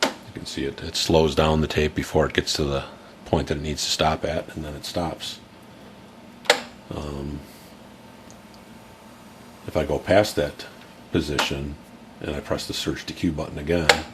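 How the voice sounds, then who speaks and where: Man, middle-aged, very deep voice, relaxed explainer, camera mic at home, clear noise behind. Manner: relaxed, explanatory, many fillers. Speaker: tape deck demonstrator. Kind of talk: casual product demonstration.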